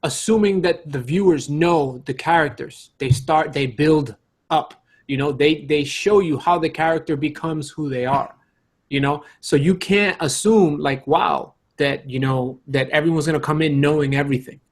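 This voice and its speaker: A man's voice: a little high, moderate pace. A man in a casual panel debate, arguing insistently and repeating his phrases as he makes his points. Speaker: podcast panelist.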